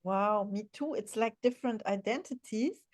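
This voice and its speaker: A woman's voice: mid-range voice, moderate pace. A woman whose first language is German, hosting a podcast interview in an enthusiastic, encouraging way. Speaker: podcast host.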